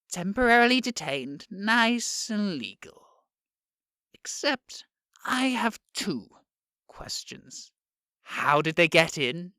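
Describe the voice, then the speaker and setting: A swooping, sharply bright voice for a smug Prime Minister, recorded close and dry. He drawls his sarcasm slowly, bullying with mock courtesy in audio drama style. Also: high-pitched, no echo, exaggerated voice. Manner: drawling, sarcastic, stretching words with pauses.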